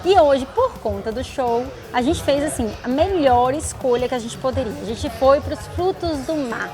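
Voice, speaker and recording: A woman's voice: sits high, lively intonation, moderate pace, music in the background. A woman nutrition coach co-hosting a travel show, explaining meals in an upbeat, chatty way. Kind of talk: casual travel show chat.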